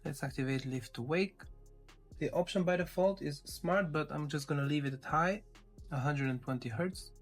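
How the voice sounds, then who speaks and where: A man with a somewhat high-pitched voice talks through a device as a tech reviewer. His delivery is informal and goes step by step, like a casual unboxing commentary. Faint music plays behind him. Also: normal speed. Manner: informal, step-by-step narrating while handling a device.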